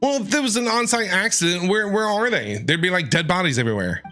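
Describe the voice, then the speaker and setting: Male streamer, casual joking game commentary, very lively intonation, bright tone, faint music behind. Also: high-pitched, quick pace. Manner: joking, wry asides. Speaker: streamer reacting to a video game.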